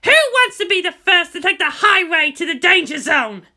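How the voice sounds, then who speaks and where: Guy doing a fiery teen girl mech pilot, really high and loud, taunting everybody. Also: big pitch swings, moderate pace, full character voice. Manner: taunting, shouting. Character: fierce hot-headed teenage girl warrior pilot.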